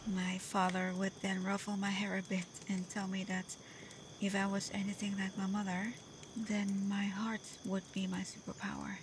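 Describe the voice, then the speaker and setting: A woman voicing a young, devoted, vulnerable half-blood kitsune in an emotional ASMR roleplay, often slipping into breathy whispers. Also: mid-range voice, monotone, moderate pace, background noise, close to an everyday voice. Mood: wistful, hesitant. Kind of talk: ASMR roleplay voice acting addressed to the listener.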